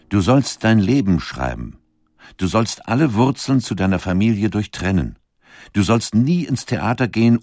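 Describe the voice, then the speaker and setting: A man's voice: low voice, a little mellow, no echo. A man narrating a scripted documentary, reading quotations dramatically.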